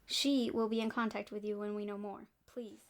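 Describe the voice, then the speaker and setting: A woman playing a firm, formal intensive care nurse in an audio drama, with a brisk, official manner. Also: medium pitch, little pitch movement, natural voice.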